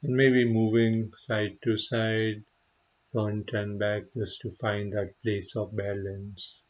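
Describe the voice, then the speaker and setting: A man leading a guided meditation as a teacher, speaking gently and unhurriedly with frequent pauses and a husky voice. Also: on the low side, little pitch movement, dull audio. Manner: gentle, unhurried, pausing often.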